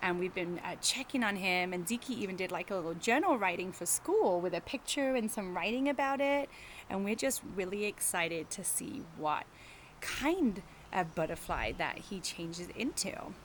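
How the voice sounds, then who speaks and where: A woman teacher doing an animated story time for children with chatty asides and encouraging questions to her young listeners, recorded outdoors in a garden. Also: mid-range voice, moderate pace. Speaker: teacher reading a picture book to children. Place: outdoors in a riverside garden, birds and faint traffic. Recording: faint outdoor birdsong and traffic noise.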